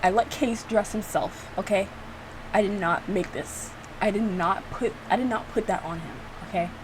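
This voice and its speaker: Female creator for casual reaction video: medium pitch, animated and informal delivery with playful exasperation, noticeable noise behind the voice. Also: moderate pace. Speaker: video creator reacting to own visual novel.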